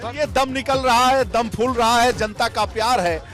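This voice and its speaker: Male news reporter, high voice, teasing repetitive delivery, clear music underneath. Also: moderate pace. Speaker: field reporter. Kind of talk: on-location TV interview.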